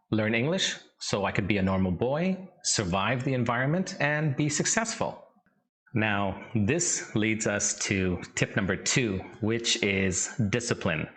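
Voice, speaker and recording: A middle-aged man from Canada, an English teacher, giving a scripted lesson in a slow, measured, instructive way. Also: on the low side, fairly bright tone.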